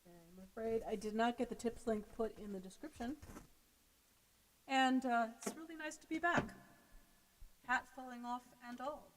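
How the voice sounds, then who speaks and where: An American woman from Seattle, a folk singer chatting casually between songs on her live stream, quick and self-deprecating. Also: on the high side, steady tone, big-room sound, slight background noise, low-quality recording. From Seattle, United States.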